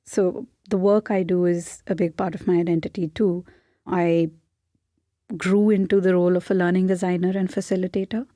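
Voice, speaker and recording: A young woman from India speaks with an Indian accent, musing aloud and restarting her thoughts as she answers. Her voice sits a little low and sounds mellow and warm. It is recorded dry, with no room echo. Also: level tone, normal speed. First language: Hindi. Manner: thoughtful, searching, with frequent restarts. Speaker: podcast guest. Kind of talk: reflective conversational interview.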